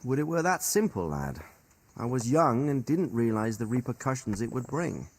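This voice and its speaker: A seasoned royal commander in a game drama. His speech is measured and articulate, with a very lively, varied melody that carries stern authority. He chooses formal words and sets them out deliberately. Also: mid-range voice, breathy edge. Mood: weary, reflective. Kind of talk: dramatic video game cutscene dialogue.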